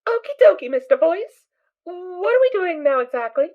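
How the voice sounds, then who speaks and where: Very high, childlike eager little filly. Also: female voice, sing-song, moderate pace, narrow, tinny audio. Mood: chirpy, eager. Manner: childlike, chirpy, guileless. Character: eager, innocent little filly, naive and brave. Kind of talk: amateur audio drama acting.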